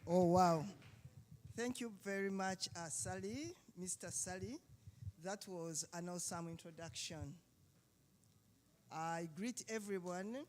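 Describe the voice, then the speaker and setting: A speaker from Uganda, giving a halting panel talk with many fillers and restarts. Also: medium pitch, a little hiss or hum. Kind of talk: summit panel speech.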